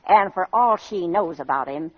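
A woman's voice: lowish voice, animated, moderate pace, breathy edge. Sceptical bossy mother, vintage muffled radio. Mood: scornful gossip. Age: middle-aged. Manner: scornful gossiping, homely ungrammatical speech. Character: sceptical, bossy middle-aged working-class mother. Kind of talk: old-time radio comedy acting. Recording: muffled old radio broadcast recording.

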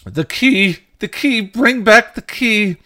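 Man doing a raving mad knight, high and strained. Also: sing-song, measured pace, fairly bright tone, exaggerated voice. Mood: frenzied. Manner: crying out in fragmented prophecies. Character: raving insane male knight, mental patient.

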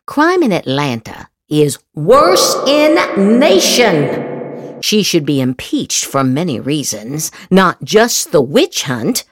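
A woman reads political posts like a stage piece, in a slightly low voice with very animated intonation. She takes her time, stretching words for sarcastic emphasis. The recording is close and dry. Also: no room sound. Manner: exaggerated, sarcastic inflection with drawn-out emphasis. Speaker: podcast host reading social media posts aloud. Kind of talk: theatrical dramatic reading of political posts.

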